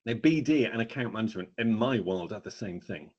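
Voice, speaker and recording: Low-voiced man presenting, slightly dull audio. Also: expressive, fairly quick, darkish tone, husky. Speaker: training company presenter hosting a webinar. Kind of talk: informal business webinar presentation. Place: online webinar. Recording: dull, lo-fi online webinar audio.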